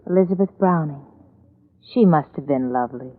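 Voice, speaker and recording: An actress playing a gentle, self-sacrificing invalid wife with an earnest, pleading manner, in 1940s radio thriller style. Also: female voice, low-pitched, a little fast. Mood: tender. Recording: tinny, noisy old radio recording.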